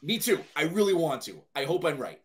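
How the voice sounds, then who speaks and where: A man's voice: fairly high pitch, big pitch swings, a little fast. A man talking casually and enthusiastically as a panelist on a podcast. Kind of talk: casual chat.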